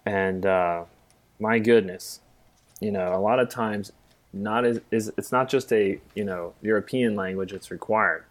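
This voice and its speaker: A man's voice: fairly low pitch, measured pace. A middle-aged man co-hosting a casual podcast discussion, rambling a bit with self-deprecating humor. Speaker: podcast co-host, music theory teacher.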